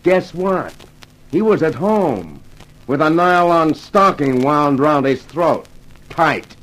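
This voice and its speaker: A man's voice: fairly high pitch, expressive, slow pace, a bit bright, rough recording. An actor playing a belligerent male police captain in a 1950s radio crime drama, blunt and tough. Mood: grim, hostile.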